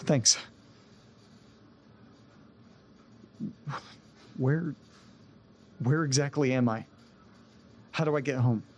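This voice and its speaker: An actor playing an anxious man who tried to burn his haunted house down, talking in halting, repeated bursts. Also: mid-range voice, slight background noise, close to an everyday voice. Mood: desperate. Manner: shaken, halting, repeats words. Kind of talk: audio drama acting.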